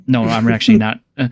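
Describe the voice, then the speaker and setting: A man's voice: mid-range voice, no echo. Rambling, laughing male stream host. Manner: rambling, laughing, filler-heavy. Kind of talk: casual group chat on a livestream.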